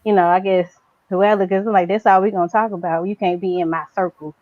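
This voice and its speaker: A woman in casual conversation who talks in long, rambling stretches full of fillers and pushes her naturally quiet voice louder. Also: mid-range voice, fairly even intonation, fast talker, no echo, narrow, tinny audio. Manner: says her natural voice is very quiet but trains herself to speak louder. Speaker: guest. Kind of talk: casual chat.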